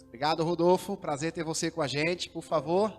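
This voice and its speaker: A man's voice: a little high, fairly quick, faint music behind. A man with a Brazilian Portuguese accent, a pastor hosting a church livestream, speaking formally with rising emphasis. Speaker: pastor and host.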